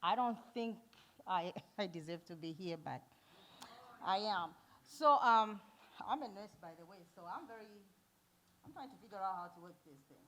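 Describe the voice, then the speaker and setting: A middle-aged woman from Zambia, a nurse giving a conference talk, earnest and warm, laughing often and pausing with fillers. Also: medium pitch, lively intonation, slight background noise. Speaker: nurse and foundation founder speaking at an event. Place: event hall.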